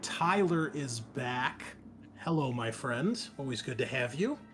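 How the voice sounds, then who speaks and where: A man's voice: medium pitch, expressive, slow, a bit bright, soft background music. A man hosting and running a tabletop game session, chatting casually and welcoming his players in a friendly way. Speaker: game master and show host.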